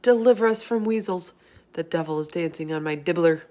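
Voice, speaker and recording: A woman voicing a kindly, excitable giant from a children's book, exclaiming in mangled, whimsical grammar. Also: on the low side, a little fast, close to an everyday voice. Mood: anguished. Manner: ungrammatical, exclamatory, whimsical made-up words. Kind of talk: children's book character in a read-aloud.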